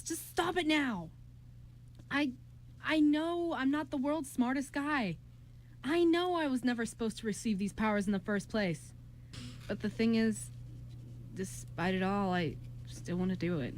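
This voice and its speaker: A woman voicing an earnest, kind young man in an amateur radio play, with halting delivery. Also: fairly high pitch, lightly acted. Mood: earnest, pleading.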